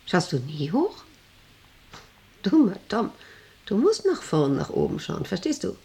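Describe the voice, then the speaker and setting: An actress playing a domineering, rambling factory director in a radio drama, speaking in a condescending, probing way with abrupt commands. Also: female voice, mid-range voice, wildly swooping pitch, a little slow, mellow. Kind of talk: German radio drama acting.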